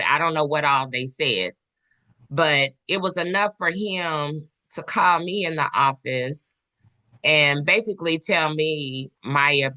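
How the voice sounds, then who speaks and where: Slow, measured woman with a bright tone. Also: low-pitched. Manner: measured, poses questions and tells stories from work. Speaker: podcast panelist. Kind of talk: casual discussion.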